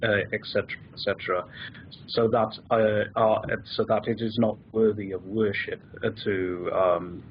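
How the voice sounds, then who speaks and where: A man calling in to a discussion show, explaining his views with many pauses and restarts. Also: fairly low pitch, little pitch movement, dull audio, slight background noise. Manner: hesitant, many fillers and restarts. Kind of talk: call-in discussion.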